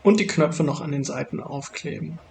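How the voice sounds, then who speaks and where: A man with a fairly high voice and a strong creak narrates a craft build in a casual, explanatory way. There is faint noise behind his voice. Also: moderate pace, darkish tone. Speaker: maker narrating a build video. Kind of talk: casual tutorial voice-over.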